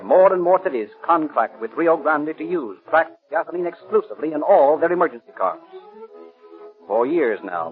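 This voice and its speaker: Man announcer on tinny old radio. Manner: persuasive, declamatory sales pitch. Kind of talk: 1930s sponsor advertisement reading.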